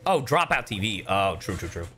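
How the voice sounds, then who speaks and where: A man's voice: lowish voice, big pitch swings, moderate pace, music in the background. A young man livestreaming with casual game commentary, chatty, joking and profane.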